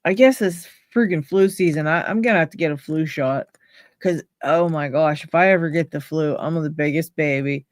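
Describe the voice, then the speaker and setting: A woman's voice: low-pitched, normal speed, no echo, studio quality. A woman with a Pittsburgh accent, hosting a casual live stream and chatting with viewers while she reads names from chat and laughs often. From Pennsylvania, USA. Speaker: livestream host.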